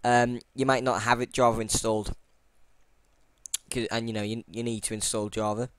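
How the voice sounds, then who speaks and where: A man narrates a software tutorial over his screen recording, rambling and circling back with frequent ums and half-started sentences. His voice is smooth and clear, sitting at a middling pitch. The recording is dry and close, with no room sound around it. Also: flat delivery, moderate pace, dry recording. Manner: rambling, lots of fillers and restarts; self-deprecating. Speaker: tutorial channel host.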